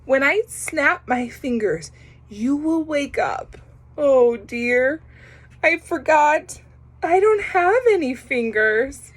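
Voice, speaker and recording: A woman's voice: high voice, expressive, slow pace, pitched above the performer's own voice. A woman voicing an anxious, well-meaning young male snake from a children's storybook, in a nervous, fretful manner.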